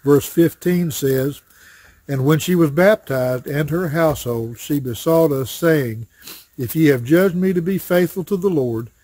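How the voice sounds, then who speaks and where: A man's voice: fairly high pitch, a little mellow, no echo. Folksy older man teaching outdoors. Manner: plain, folksy teaching with frequent "uh" pauses. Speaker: associate pastor teaching Sunday school. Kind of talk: Bible study lesson. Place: outdoors in a wooded backyard. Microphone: webcam or phone framed at chest height. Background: crows cawing.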